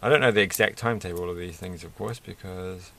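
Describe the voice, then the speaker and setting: A middle-aged man with a croaky voice, chatting casually and haltingly through a hobby build, often searching for words. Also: low voice, fairly bright tone, slight background noise. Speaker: Lego build video creator. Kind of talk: casual hobby vlog, unscripted commentary while building. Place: indoors at a desk.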